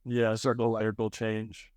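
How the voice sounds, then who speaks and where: A young man, around college age, giving casual, low-key interview answers as a baseball player. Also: completely flat delivery, a little slow, darkish tone, no room sound, clean studio sound. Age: young adult, about 20.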